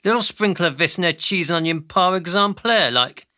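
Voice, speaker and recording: Guy acting a pompous greedy exec in a radio play, flippant, swoopy forceful voice. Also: high-pitched, expressive, a little fast, dry recording, studio quality. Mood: brash, dismissive. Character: glib, greedy, callous male snack company boss.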